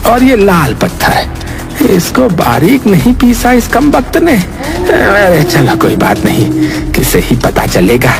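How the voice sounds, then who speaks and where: Greedy creaky-voiced cartoon shopkeeper. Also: male voice, sits high, wildly swooping pitch, slow pace, exaggerated voice. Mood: scheming, muttering. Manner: exaggerated muttering, hesitant grunts and exclamations. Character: greedy, scheming village shopkeeper, bullying and sly. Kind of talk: Hindi moral cartoon voice acting. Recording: music behind the voice.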